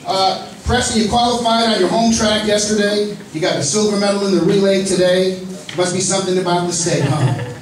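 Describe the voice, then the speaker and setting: A man with a fairly high voice announces names and jokes with the panel like a friendly emcee. He is caught from a distance in a cavernous, echoing hall, with steady background noise. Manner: genial, joking, lists names with pauses. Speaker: press conference host. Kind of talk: announcing and moderating a press conference. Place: large echoey indoor hall. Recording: echoey hall recorded from far off, noisy.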